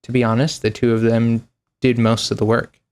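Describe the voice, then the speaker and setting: Young man, streamer, casual read-aloud, relaxed, medium pitch, giggly asides. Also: normal speed, smooth. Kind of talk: reading a visual novel aloud.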